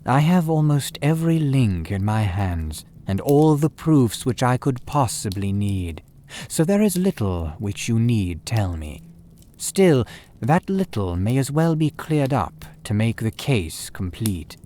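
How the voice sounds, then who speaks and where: A man voicing a calm, sharp-witted male detective who speaks in a measured, dry and commanding way. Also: mid-range voice, slight background noise.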